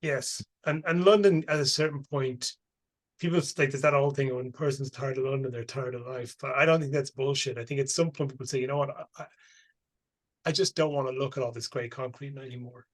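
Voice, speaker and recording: A man hosting a podcast, relaxed and casual, swearing freely in easy conversation. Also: medium pitch, brisk. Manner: relaxed, swears casually, uses colloquial phrasing. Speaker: podcast host. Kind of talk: casual interview chat.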